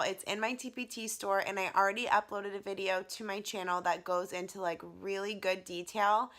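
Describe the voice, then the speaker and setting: A young woman vlogging to her camera. She is losing her voice and sounds raspy, and she gushes with excitement and fills her talk with "like". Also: mid-range voice. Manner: excited, gushing, many "like" fillers. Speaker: vlogger and teacher. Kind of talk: casual talking-to-camera vlog.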